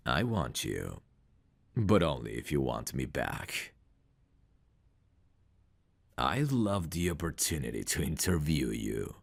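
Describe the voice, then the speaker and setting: Male actor as smooth champion fighter, slow measured delivery, confident flirt, intimate audio roleplay, very dry close sound. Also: very deep voice, dry recording, heavily acted. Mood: tender, seductive. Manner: slow, deliberate, teasing and intimate. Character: big confident champion fighter, smooth, flirtatious, guarded about his past.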